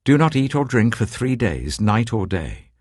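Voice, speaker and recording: A British man voicing a brave, earnest queen in an audiobook, speaking formally and deliberately. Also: medium pitch, sing-song, a little mellow, breathy edge, dry recording, studio quality, voice pushed up. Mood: grave resolve. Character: brave, resolute young queen, earnest and courteous.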